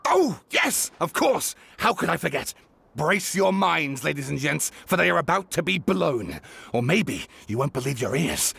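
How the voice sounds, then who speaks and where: An excitable game-character writer with a highish, creaky voice that swings wildly as he spins wordy tales. He relishes every spooky detail with theatrical flair, over background music. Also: moderate pace, full character voice. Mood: grandly teasing. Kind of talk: video game character dialogue.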